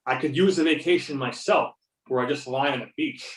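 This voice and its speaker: A man's voice: medium pitch, a little fast, natural voice. A man reading a weary, wry federal UFO investigator in amateur readers theater, with a dry, matter-of-fact delivery. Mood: casual, weary. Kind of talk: amateur readers theater over a remote call. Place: remote video or phone conference. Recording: roomy, distant call microphone.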